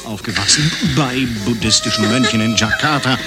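An actor playing a suave, self-assured macho secret agent with a cool drawl in a satirical noir radio play. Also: male voice, medium pitch, moderate pace, natural voice. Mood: smug, seductive. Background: music.

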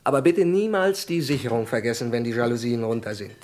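An actor playing a courteous, confident intelligence security expert in a German radio crime drama, explaining things formally and matter-of-factly. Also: male voice, mid-range voice, expressive, brisk, darkish tone, close to an everyday voice. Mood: calm warning.

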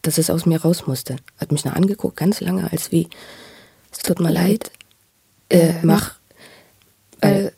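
Husky, halting man, gravelly and mellow. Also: fairly high pitch, fairly even intonation, no echo, studio quality. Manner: stammering word repetitions, searching for words. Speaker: interviewee recounting his own experience. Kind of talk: halting personal testimony in conversation.

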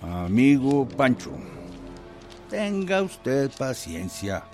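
An actor playing a courteous, cautious governor reading out a letter formally in a radio drama. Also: male voice, medium pitch, big pitch swings, music in the background. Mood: calm, cautioning. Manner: measured, formal. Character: courteous, cautious state governor, a revolutionary's male ally.

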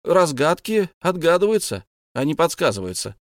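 A man's voice: high voice, animated, moderate pace, no room sound, pitched above the performer's own voice. An insistent, plucky little hobbit, as a storyteller puts him on, pushing his point in a raised, lifted voice. The sound is dry and close. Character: small, anxious but plucky male hobbit.

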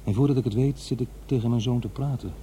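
A man's voice: mid-range voice, fast, warm tone, slight background noise, lightly acted. An actor playing a middle-aged divorced father who muses wryly over his memories in a radio drama. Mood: musing, wry. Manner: musing, hesitant, talking to himself. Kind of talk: radio drama monologue, conversational and introspective.

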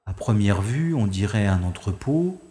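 A man's voice: fairly low pitch, animated, measured pace, warm tone, roomy sound, in a large hall. An actor playing a composed special agent hero who narrates his own escape in the first person with dry, wry asides. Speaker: lead character and narrator.

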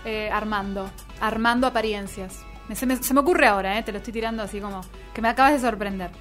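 A woman's voice: mid-range voice, quick pace, a bit bright. A woman with an Argentine Rioplatense accent hosting a radio show in casual, playful, ironic banter. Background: music.

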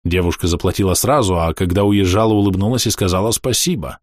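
A man reading a first-person diary aloud in a calm, matter-of-fact, measured way. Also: low voice, no echo, studio quality. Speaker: audiobook narrator.

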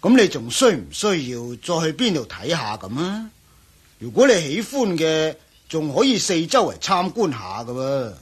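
A man's voice: on the high side, very animated, moderate pace, breathy edge. A man playing the stern, irritated master of a house in a radio drama, speaking Hong Kong Cantonese with sarcastic challenge.

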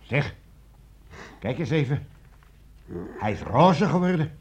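An actor playing an earnest, unsettled army captain in a radio play, husky and easily awed. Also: male voice, fairly high pitch, sing-song, slow pace, highs cut off, exaggerated voice. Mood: amazed. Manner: earnest, dramatic.

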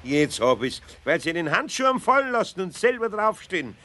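A man's voice: high voice, very animated, brisk, bright, forceful tone, exaggerated voice. Older bavarian craftsman, flustered and grumbly, voice goes all over the place, old radio play. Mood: flustered, irritated. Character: flustered, good-natured older craftsman, gruffly patient. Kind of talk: 1970s children's radio play acting. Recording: 1970s radio play, faint noise.

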